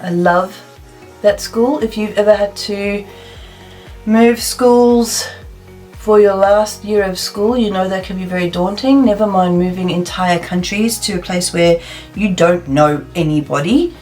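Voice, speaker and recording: A woman's voice: mid-range voice, unhurried, a little mellow, faint music behind. A woman in her thirties from South Africa, chatting and thinking aloud as she does her makeup in a get-ready-with-me video. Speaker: beauty vlogger. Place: plain indoor room.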